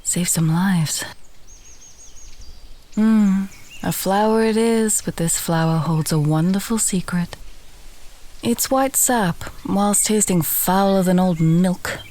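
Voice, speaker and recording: A woman playing a friendly, teasing village alchemist in a fantasy audio roleplay, talking gently and conversationally to the listener. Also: fairly low pitch, takes it slowly, long pauses. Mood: delighted, instructive. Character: friendly female village alchemist, warm, teasing, earthy, encouraging.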